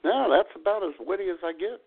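A man's voice: high voice, animated, fast, gravelly, husky. A man co-hosting a podcast with casual banter and a wry, joking manner. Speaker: co-host of a comedy podcast. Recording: tinny phone-like internet radio audio.

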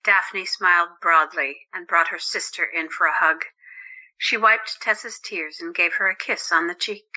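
A woman's voice: on the low side, steady tone, normal speed, pushed and bright, low-quality recording. A woman reading scripted narration as the narrator of a drama. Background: music.

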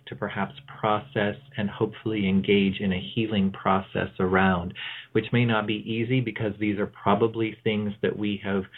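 A man hosting a radio show, speaking in an unscripted, reflective monologue with measured phrasing and pauses mid-sentence. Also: lowish voice. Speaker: tarot reader. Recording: thin phone-like audio.

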